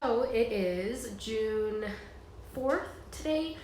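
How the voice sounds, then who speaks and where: A woman's voice: a little high, lively intonation, extremely slow, warm tone, a little hiss or hum. Young woman vlogging from her bedroom, kinda nasal from a cold, lots of um and like. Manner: chatty, rambling, many fillers. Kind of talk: casual unboxing vlog. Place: small bedroom indoors.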